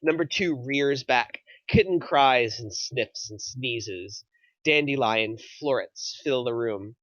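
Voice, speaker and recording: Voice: medium pitch, slow pace, fairly bright tone, dry recording, muffled recording. A narrator reading scripted stage directions aloud at a film table read.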